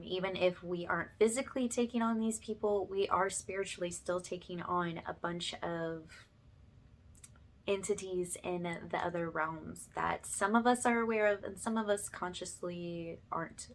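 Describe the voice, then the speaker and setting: A woman's voice: fairly low pitch, fairly even intonation, moderate pace. A woman speaking informally to her viewers as a spiritual ASMR healer, reassuring them and often checking in with them directly. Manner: reassuring, addresses listeners directly with check-in tags.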